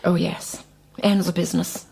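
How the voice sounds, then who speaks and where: A woman's voice: fairly low pitch, flat delivery, moderate pace, gravelly, a little mellow. An actress playing a proud, bitter middle-aged East German woman with a curt, formal manner, in a radio drama. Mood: cold, curt.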